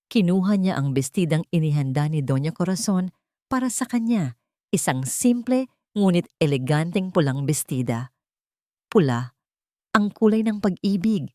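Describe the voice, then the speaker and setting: Low-voiced woman narrating a drama. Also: a little mellow, no echo, clean studio sound. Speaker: storyteller. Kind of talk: dramatic scripted story narration.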